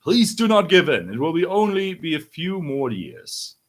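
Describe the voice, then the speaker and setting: A man's voice: on the high side, sing-song, moderate pace, voice pushed up. A man reading as a kindly townsperson, earnest and reassuring as they console a child. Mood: reassuring, fervent.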